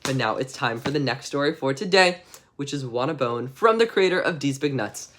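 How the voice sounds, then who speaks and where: A man's voice: medium pitch, lively intonation, a little fast, fairly bright tone. Male storytime streamer, mid-range voice, tongue-in-cheek and mock-innocent, for chat and rhyming read-alouds. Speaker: streamer host reading stories.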